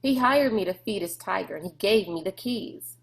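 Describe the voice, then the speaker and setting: A woman's voice: mid-range voice, animated, normal speed, creaky, rough recording. A woman voicing a timid, cautious country boy in a storybook, with hesitant, folksy dialect.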